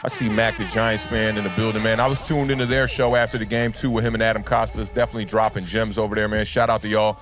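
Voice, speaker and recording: A young adult man with a deep baritone voice, under the weather, hosting a casual sports livestream and chatting with fans. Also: little pitch movement, fast talker, few pauses, over music. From United States. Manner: laid-back, repeats "man" and "y'all know what it is". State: under the weather, deeper voice than usual. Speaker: sports talk livestream host.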